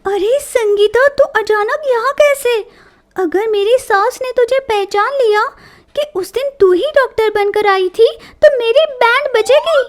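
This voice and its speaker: Scheming young daughter-in-law played by a woman, whiny and dramatic, very high voice, slow delivery, comic family storybook. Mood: smug, chatty. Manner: dramatic, complaining. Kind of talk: Hindi family comedy storybook acting.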